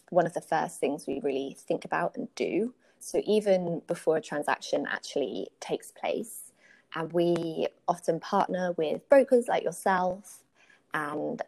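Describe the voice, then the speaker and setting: A woman's voice: lowish voice, creaky, darkish tone, poor audio. A woman with a British accent explaining her professional work in an articulate, conversational way as an interview guest. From United Kingdom. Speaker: podcast guest, workplace strategy consultant.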